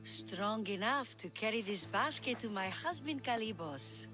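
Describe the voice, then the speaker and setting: A woman performs an ancient Greek general's wife from a video game, all flattery and coaxing. Her medium-pitched voice swings expressively as she gushes, with music plainly underneath. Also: unhurried, close to an everyday voice. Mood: flattering, coy. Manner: gushing, coaxing. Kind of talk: scripted video game acting. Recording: music behind the voice.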